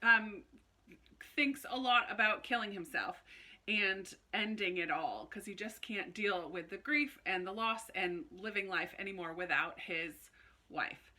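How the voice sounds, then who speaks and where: A woman chatting casually to camera like a book vlogger, in an animated, informal way with little self-interrupting asides. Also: mid-range voice. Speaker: book review video host. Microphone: phone camera recording.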